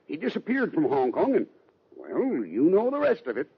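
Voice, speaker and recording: An excitable, none-too-bright cowboy sidekick in an old radio Western. His drawling Western American voice is raspy and jumps around in pitch. The sound is dull and muffled. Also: moderate pace, full character voice. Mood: hesitant, folksy. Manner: comic, hesitant, drawling. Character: folksy, slow-witted, excitable male cowboy sidekick. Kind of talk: old-time radio drama acting.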